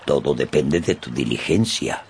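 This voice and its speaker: An actor playing a deluded, love-struck old knight errant with pompous, flowery declamation in a radio drama. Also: male voice, extremely low pitch, expressive, normal speed, darkish tone, slight background noise. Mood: lovelorn, solemn. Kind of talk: Spanish-language radio drama acting. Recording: studio radio drama with background music.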